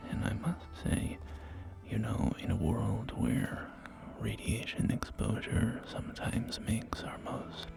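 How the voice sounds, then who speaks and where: A man's voice: fairly low pitch, fairly even intonation, slow, creaky, darkish tone, full character voice. A man whispering in an ASMR roleplay as a courteous, enthusiastic post-apocalyptic real estate agent, with genteel, hesitant sales patter. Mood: delicate, polite. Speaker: roleplay performer. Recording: music behind close whispering. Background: background music.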